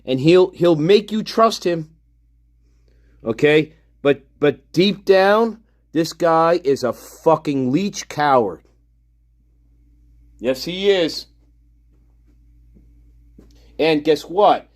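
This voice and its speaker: A man's voice: on the high side, expressive, very slow, long pauses. A man hosting a livestream, giving profane, heated commentary and repeating himself as he rants about a video he is playing. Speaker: livestream host. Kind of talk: ranting commentary on a played video.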